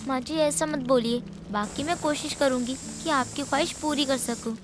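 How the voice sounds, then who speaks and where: A woman's voice: high voice, moderate pace, noisy background, close to an everyday voice. A woman playing a meek, dutiful young daughter-in-law, polite and deferential, in a dramatised family story. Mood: meek, flustered.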